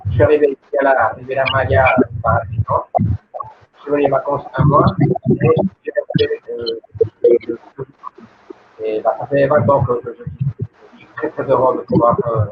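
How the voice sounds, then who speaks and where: Man, Mexican accent, tour guide, casual intro, clear background noise, dull lo-fi call audio. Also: mid-range voice, fairly even intonation, moderate pace.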